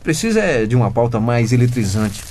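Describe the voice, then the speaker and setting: A man's voice: medium pitch, very animated, a little fast, darkish tone, a little hiss or hum, close to an everyday voice. A Brazilian man playing an eager, cheeky fifteen-year-old son in a comic radio drama, slangy, overeager and self-important. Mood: scheming.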